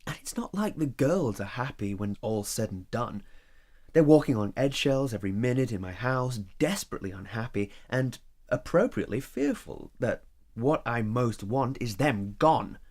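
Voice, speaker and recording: A man playing a guarded, solitary lover in an audio drama, speaking in a measured, reserved, matter-of-fact way. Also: mid-range voice, animated, a little mellow. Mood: defensive, pained. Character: guarded, solitary man, ambivalent about love.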